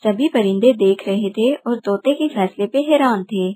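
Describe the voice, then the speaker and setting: A woman's voice: mid-range voice, measured pace, mellow. A woman narrating a scripted children's story.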